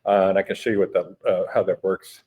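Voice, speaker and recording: A man in his forties whose first language is Spanish, explaining things in a relaxed interview with many hesitations and restarts. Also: low-pitched, fast, phone-quality sound, slight background noise. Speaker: guest, education technology company director. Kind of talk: conversational interview. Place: conference venue.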